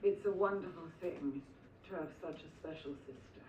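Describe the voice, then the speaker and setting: An older woman from Philadelphia with some English traces in her accent gives an acceptance speech in a low voice. She speaks in a reflective way with small hesitations. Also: moderate pace, warm tone, highs cut off, slight background noise. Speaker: honoree giving acceptance speech. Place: school hall at an awards ceremony. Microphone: podium microphone. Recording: echoey hall, distant mic.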